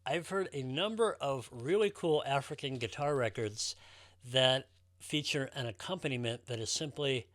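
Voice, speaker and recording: A man's voice: mid-range voice, normal speed, fairly bright tone, no room sound. A man talking casually and enthusiastically to viewers as a collector presenting his show.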